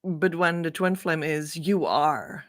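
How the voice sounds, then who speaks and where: Slow-speaking woman teacher. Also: sits low, expressive. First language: Dutch. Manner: earnest, reassuring, unhurried. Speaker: spiritual teacher. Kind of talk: spiritual teaching talk.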